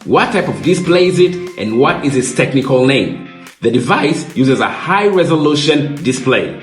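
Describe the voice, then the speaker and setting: A man reading a scripted question-and-answer product explainer in a measured, explanatory way as a presenter. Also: mid-range voice, echoey room.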